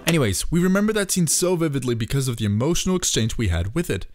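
A man hosts a scripted video essay in a conversational, reflective voice. His pitch sits in the middle of the male range. Music plays clearly underneath his narration. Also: very animated, fast talker, no room sound, clean studio sound. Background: background music.

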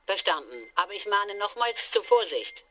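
Casting: formal, cautious starship officer, dull radio-filtered voice, medium pitch. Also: female voice, big pitch swings. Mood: stern, cautious. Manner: formal, measured. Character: stern, cautious female mothership officer on the radio. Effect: radio transmission. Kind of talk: audio drama acting. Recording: muffled radio link, faint noise.

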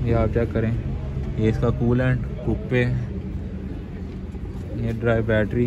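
A Pakistani man presenting used cars in walk-around commentary, with English words mixed into repetitive, salesman-like patter, outdoors at a car market. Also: mid-range voice. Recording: dull sound, clear outdoor traffic noise.

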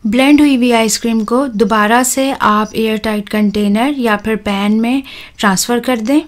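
Calm woman with a higher voice, teaching a recipe. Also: normal speed. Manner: calm, instructive, with soft "uh" fillers. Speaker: cooking channel host. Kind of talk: step-by-step recipe voice-over.

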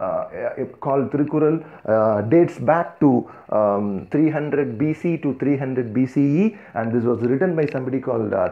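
An Indian man with a Tamil accent explains a business framework in loose, unscripted lecture style, piling up fillers and emphatic lists. His voice sits a bit high for a man, and the sound is thin and tinny, like a phone line. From Tamil Nadu, India. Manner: thinking aloud with frequent "uh" and "you know", restarts and emphatic lists. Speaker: organization founder giving a talk. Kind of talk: free-flowing lecture over slides.

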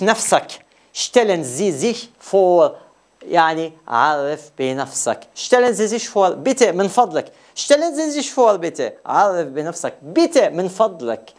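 A man from Jordan with a Jordanian Arabic accent, a native Arabic speaker teaching a bilingual beginner language lesson and carefully repeating phrases for learners. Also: a little high.

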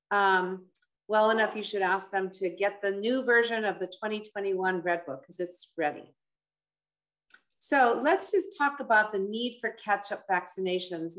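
A woman's voice: medium pitch, fairly bright tone, poor audio. Conversational woman doctor presenting slides. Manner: explanatory, conversational. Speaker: pediatric infectious disease physician, panelist. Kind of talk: informative slide presentation at an online town hall. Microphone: video call.

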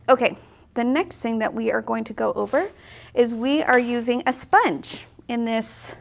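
A woman's voice: on the high side, vocal fry. A woman in her thirties teaching a watercolor lesson in an indoor art studio, chatty and upbeat as she explains each step. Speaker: watercolor instructor. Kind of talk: casual on-camera painting tutorial.

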